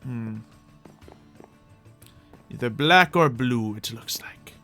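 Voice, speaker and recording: Man, medium pitch, playful gaming host, improvised roleplay commentary, thinking aloud, music behind. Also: animated, takes it slowly, pushed and bright.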